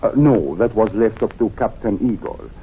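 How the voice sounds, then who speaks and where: An actor playing a suave, courteous foreign consul in an old-time radio drama, with formal, careful phrasing. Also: male voice, on the low side, animated, normal speed. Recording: tinny, noisy vintage radio recording.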